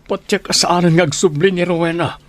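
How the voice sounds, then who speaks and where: A man's voice: high voice, steady tone, brisk, natural voice. An actor with a Filipino Ilocano accent playing a heartsick man in love who confides his hurt in an audio drama. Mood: sorrowful, resentful.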